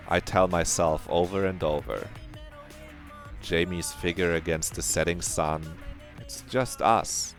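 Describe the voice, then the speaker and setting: A deep-voiced man reading a visual novel aloud on stream, breaking in with animated reactions. Faint game music sits beneath his voice.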